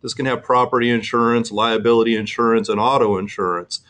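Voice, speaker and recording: A man's voice: mid-range voice, fairly even intonation, brisk, fairly bright tone. A middle-aged man presenting an insurance webinar, explaining earnestly with frequent fillers and restarts.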